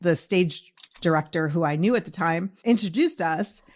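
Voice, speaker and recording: Slightly low-voiced woman, chatty host. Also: normal speed. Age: 50s. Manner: animated storytelling, laughs readily, quotes herself and others. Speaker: podcast host interviewing an author. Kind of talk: casual, chatty interview.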